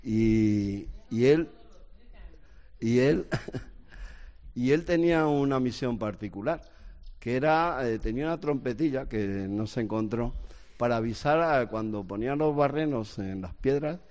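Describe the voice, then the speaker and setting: A man's voice: mid-range voice, animated, unhurried, a little mellow. A man in his sixties from Spain, a guest telling family stories in a casual, hesitant, rambling way. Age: 60s. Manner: rambling, hesitant storytelling with restarts. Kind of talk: casual chat.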